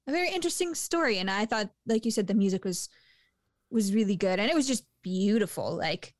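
A woman in a casual movie review chat, talking with lots of fillers and quick laughs. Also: medium pitch, animated, no echo, clean studio sound. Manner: chatty, laughs often, many fillers.

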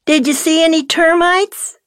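An actress playing a polite, wistful elderly widow in an intimate audio drama, with a gentle, hesitant delivery. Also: female voice, extremely high pitch, steady tone, measured pace, no echo, clean studio sound. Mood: curious. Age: older.

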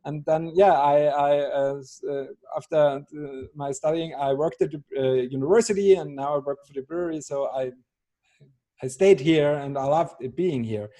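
Austrian man with a bright voice. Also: a little high, normal speed. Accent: Austrian German. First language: German. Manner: hesitant, many fillers and restarts, dry humor. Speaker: brewery ambassador, guest. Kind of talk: casual interview over video call. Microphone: video call.

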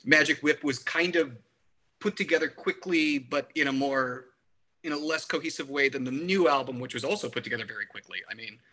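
A man with a very bright, forceful voice at a medium pitch. He chats as a music podcast co-host, emphatic and repetitive, often slipping into imagined quotes. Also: brisk. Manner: emphatic, repeats words, acts out imagined quotes. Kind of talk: casual album discussion.

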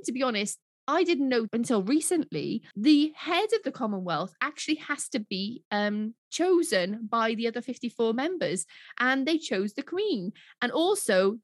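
Gushing Welsh woman, slightly high. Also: no echo. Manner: enthusiastic, gushing, tag questions. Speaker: podcast co-host. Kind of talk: casual chat.

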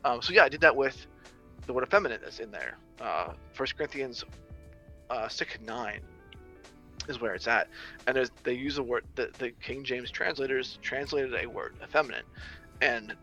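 A man's voice: mid-range voice, animated, normal speed, bright, forceful tone, faint music behind. A young adult man in casual conversation, rambling through ideas with many restarts and fillers. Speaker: social media creator on biblical masculinity.

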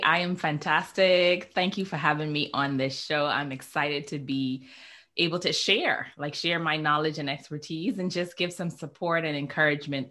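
A woman's voice: low-pitched, a bit bright. A woman from the Bahamas, a communications coach, speaking as a podcast guest, upbeat and effusive. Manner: upbeat and effusive, jokes playfully. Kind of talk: podcast interview.

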